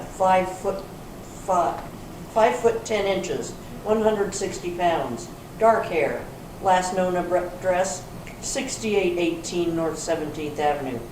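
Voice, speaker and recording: A woman reading the part of a brisk, authoritative police sergeant in an amateur readers theater, matter-of-fact in manner. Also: on the low side. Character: brisk female police sergeant closing a case. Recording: echoey room from far off, clear noise.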